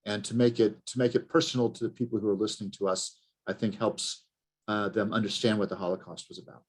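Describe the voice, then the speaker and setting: A man's voice: on the low side, flat delivery, fast talker. An older man giving informal, reflective answers as a panelist. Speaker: panelist, child of Holocaust survivors. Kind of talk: conversational panel discussion.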